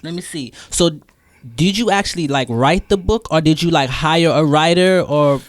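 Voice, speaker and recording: A man's voice: fairly high pitch, moderate pace, no echo. A man interviewing as a podcast host in an informal, slangy way, often repeating his phrases. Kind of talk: casual interview chat.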